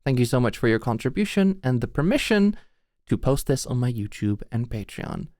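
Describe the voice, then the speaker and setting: A man's voice: mid-range voice, sing-song, normal speed, a little mellow, no room sound. Man casually introducing audio, very dry sound. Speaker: audio channel host.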